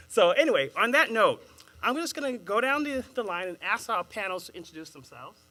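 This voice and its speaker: A man with a Boston accent moderating a panel, chatting with a live audience in an animated way and laughing at his own jokes. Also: high-pitched, moderate pace. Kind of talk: engaging address to a live audience.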